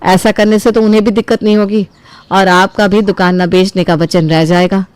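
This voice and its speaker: A woman's voice: mid-range voice, fairly even intonation, moderate pace, darkish tone, clean tone, dry recording, natural voice. A woman voicing a supportive, practical wife, reassuring and resolute, in a cartoon family story. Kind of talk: acted dialogue in a Hindi cartoon family story.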